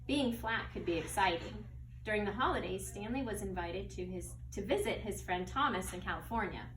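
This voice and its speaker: A woman's voice: medium pitch, a little fast. Woman teacher reading aloud, roomy and echoey. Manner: expressive, teacherly, explains words. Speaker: second grade teacher. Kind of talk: read-aloud of a children's story with asides to pupils. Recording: roomy echo, distant mic, faint noise.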